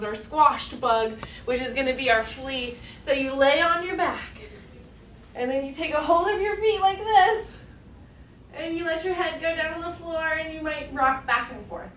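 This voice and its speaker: A woman's voice: sits high, a bit bright, slight background noise. A woman teacher guiding young children through yoga poses step by step, with encouraging, simple singsong talk. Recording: echoey room, distant mic, music behind.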